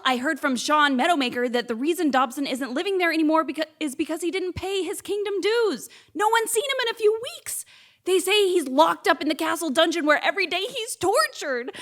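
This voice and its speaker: A woman's voice: very high-pitched, a bit bright. A woman playing a timid, loyal male friend in a comic stage play, nervous, earnest and pleading.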